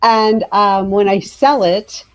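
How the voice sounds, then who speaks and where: A woman's voice: mid-range voice, slow. A woman telling anecdotes casually as an interview guest, with a wry, self-deprecating manner.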